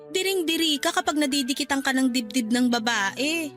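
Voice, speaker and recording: A woman's voice: high voice, normal speed, fairly bright tone, soft background music. A woman playing a jealous, hurt, defensive wife in a Filipino radio drama, arguing and pleading with a Filipino accent. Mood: hurt, accusing. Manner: argumentative, pleading.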